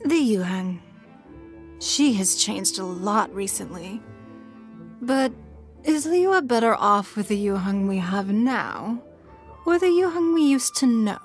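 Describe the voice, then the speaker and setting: A wealthy, calculating woman leader from a video game. She speaks slowly and deliberately at a medium pitch, with refined poise and cool authority. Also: expressive, faint music behind. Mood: composed, shrewd. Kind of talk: video game character voice lines.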